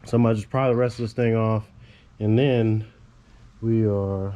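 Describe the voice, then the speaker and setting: A man's voice: lowish voice, steady tone, moderate pace, warm tone. Congested laid-back man in a garage. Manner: laid-back, rambling, casual swearing. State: congested from a head cold. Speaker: car builder. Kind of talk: casual build vlog.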